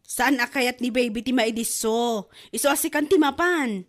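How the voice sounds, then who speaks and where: A woman's voice: a little high, moderate pace, natural voice. A woman with an Ilocano accent acting everyday women in a comedy drama. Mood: bossy, nagging.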